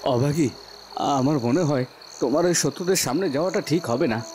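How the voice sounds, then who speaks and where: A man's voice: fairly high pitch, moderate pace, background noise, close to an everyday voice. A man voicing a brave, protective young prince in a fairy tale cartoon, speaking earnestly.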